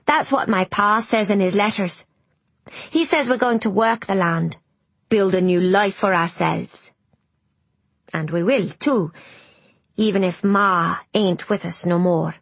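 A woman voicing an excitable working-class boy in an audiobook, blunt and emphatic, with colloquial speech. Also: mid-range voice, measured pace, long pauses, slightly rough, no room sound. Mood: hopeful. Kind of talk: audiobook character dialogue.

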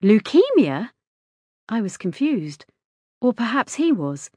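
A woman reading a first-person story as an audiobook narrator, in a measured, inward storytelling manner. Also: lowish voice, lively intonation, a little mellow, dry recording.

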